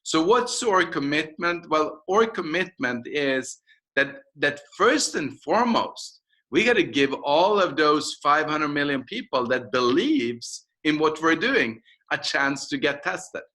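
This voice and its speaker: A Norwegian-accented man with a fairly high, bright and forceful voice speaks as a company founder in an interview. He makes his business pitch emphatically, repeating and counting off his points. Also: sing-song, measured pace, rough recording. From Norway.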